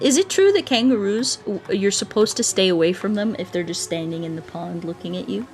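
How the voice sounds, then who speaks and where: Woman game streamer, chatty and playful. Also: medium pitch. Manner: giggly, playful, mock-earnest. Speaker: video game livestreamer. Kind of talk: casual chat with viewers while playing.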